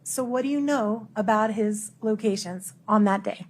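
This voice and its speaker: A woman's voice: mid-range voice, normal speed, a little hiss or hum. A woman lawyer questioning a witness in a courtroom, formal, pressing and insistent. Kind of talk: courtroom cross-examination.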